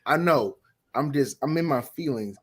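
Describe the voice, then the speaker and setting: A man's voice: mid-range voice, lively intonation, normal speed, a crowd in the background. A man chatting casually on a review panel, blunt and opinionated, with sweary interjections. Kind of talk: casual podcast chat.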